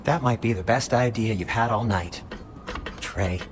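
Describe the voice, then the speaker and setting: A man's voice: fairly low pitch, a little slow, noisy background, close to an everyday voice. An actor playing an adult male dwarf craftsman in a video game, talking in a wry, conversational way. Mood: wry teasing. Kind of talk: naturalistic video game acting.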